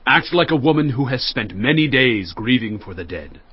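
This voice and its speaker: An actor playing an authoritative ancient ruler, speaking formally and deliberately in a dramatized audio Bible. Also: male voice, medium pitch, sing-song, husky, dry recording, dull audio. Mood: instructing.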